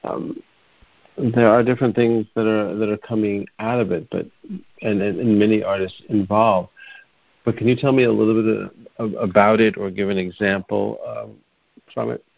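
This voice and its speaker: Hesitant low-voiced male interviewer. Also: steady tone, normal speed, creaky, no echo, muffled recording. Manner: hesitant, with many ums. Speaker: interviewer host. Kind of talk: conversational interview.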